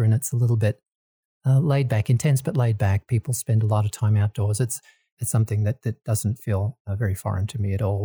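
Australian man with a dark, mellow voice. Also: fairly low pitch, breathy edge, no echo, studio quality. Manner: relaxed and unhurried, with frequent ums and restarts. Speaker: guest, small business lender. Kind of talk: casual interview talk.

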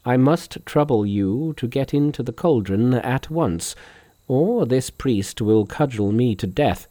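A haughty but cowardly king from a storybook, played by a male narrator. He gives orders formally, in a mellow voice with wide, expressive swings of pitch and very little room sound. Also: a little slow, no room sound, natural voice. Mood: frightened.

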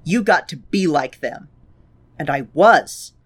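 Slow, reflective show reviewer. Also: mid-range voice, lively intonation, husky. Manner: slow, reflective, quoting lines with feeling. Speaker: podcast co-host reviewing a show. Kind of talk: casual discussion.

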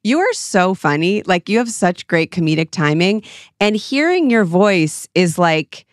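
A woman co-hosting a podcast in casual chat, gushing and giggly, with quick asides. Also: fairly low pitch, sing-song, dry recording, clean studio sound. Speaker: podcast co-host.

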